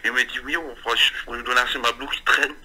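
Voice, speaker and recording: A man's voice: medium pitch, fairly even intonation, very fast, noisy background. A young man making a prank phone call, rambling and stammering through confused nonsense in a sham apologetic way. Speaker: prank caller.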